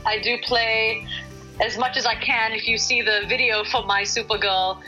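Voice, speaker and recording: A woman's voice: on the high side, fairly even intonation, normal speed, bright, forceful tone, soft background music, rough recording. A middle-aged woman from Singapore, a singer-songwriter guest, answering interview questions in a thoughtful, hesitant way with many fillers and restarts.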